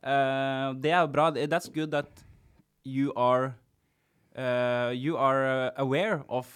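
A man, a clown talking as a podcast guest in halting, casual conversation full of restarts. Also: mid-range voice, fairly even intonation, a bit bright, clean tone. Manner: halting, many restarts and fillers, teasing.

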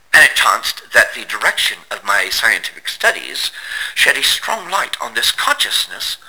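Tormented Victorian scientist, male actor: grandiose confessional monologue, piercingly intense, highly animated. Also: heavily acted, poor audio. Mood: fervent anguish. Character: tormented, proud Victorian gentleman scientist confessing his downfall. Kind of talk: dramatic monologue in gothic audio drama.

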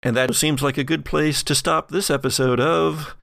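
Very creaky man narrating a game. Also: on the high side, fairly even intonation, moderate pace, fairly bright tone, no echo, clean studio sound. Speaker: tabletop role-playing game player. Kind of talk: conversational improvised storytelling, narrating a character's actions.

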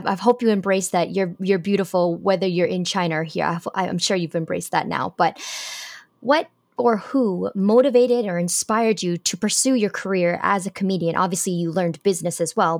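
A woman's voice: mid-range voice, darkish tone, no echo, studio quality. A woman hosting an interview, upbeat and encouraging as she asks her questions. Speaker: interview host.

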